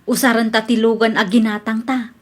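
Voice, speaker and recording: A woman's voice: a little high, flat delivery, a little fast, close to an everyday voice. A woman playing a boastful, stubborn, cheerfully bossy wife in an Ilocano comedy radio drama, with an Ilocano Filipino accent. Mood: stubborn, impatient.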